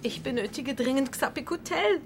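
High-pitched, desperate, pleading customer. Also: female voice, animated, normal speed, over loud music. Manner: pleading, insistent. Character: desperate, naive, single-minded female customer hooked on pills. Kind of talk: radio play acting.

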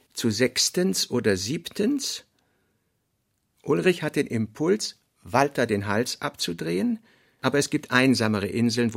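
Man, mid-pitched, creaky, deliberate literary reader, radio play narration. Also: no room sound, clean studio sound. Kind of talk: literary reading of draft notes.

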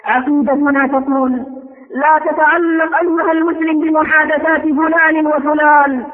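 High-pitched male preacher, thin phone-like sound. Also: little pitch movement, moderate pace. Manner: exhortatory, rhetorical, with repeated refrains and questions. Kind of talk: impassioned religious sermon in formal Classical Arabic.

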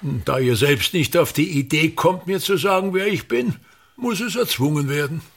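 An actor playing a pompous, bitter, tyrannical ageing actor-manager in a radio play, declaiming and haranguing with a husky voice. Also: male voice, a little high, lively intonation, normal speed. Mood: demanding, vain. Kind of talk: theatrical radio play monologue.

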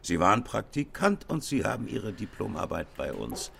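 An actor playing an older, pompous company boss in a radio play, praising in halting, repetitive business phrases. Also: male voice, fairly low pitch, very animated, gravelly, noisy background, exaggerated voice. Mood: patronizing, jovial.

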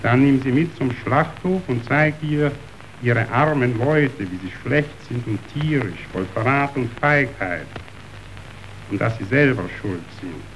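An actor playing a cynical, brooding meat magnate in a declaimed radio drama. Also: male voice, mid-range voice, animated, a little hiss or hum, lightly acted, rough recording. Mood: confiding, brooding. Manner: declamatory, deliberate.

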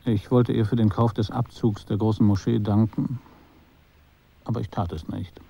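An actor narrating a German radio drama as a detached, quietly observant storyteller, measured and understated. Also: male voice, lowish voice, flat delivery, vocal fry, husky, muffled recording.

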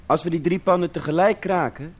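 An actor playing a brisk, needling squatter chairing a meeting in a Dutch radio drama. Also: male voice, on the high side, husky. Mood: businesslike.

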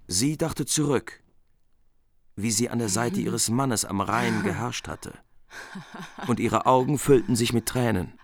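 A man narrates an old tale in a scripted radio play. His mid-pitched voice has a gravelly creak and is recorded close and dry. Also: unhurried, no echo.

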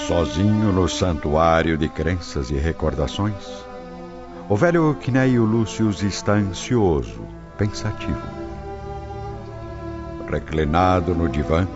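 Brazilian guy narrating a radio drama, very low voice, solemn, loud music behind, kinda dull audio. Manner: solemn, literary.

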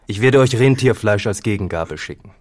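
An actor playing a solemn, brooding farmer in a literary radio drama, speaking gravely and deliberately. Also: male voice, on the low side, close to an everyday voice.

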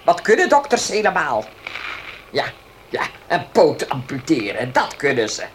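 An actress playing an imperious, restless elderly mistress of a house in a radio drama, commanding and grumbling with halting speech. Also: female voice, low voice, very animated, a little hiss or hum, low-quality recording. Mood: scornful, grumbling. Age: older. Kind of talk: Dutch radio drama acting.

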